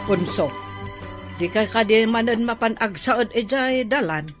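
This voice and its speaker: A woman acting a wary, questioning wife with a measured manner in a radio drama. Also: a little high, lively intonation, music in the background. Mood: prodding. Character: wary, questioning adult wife plotting in secret.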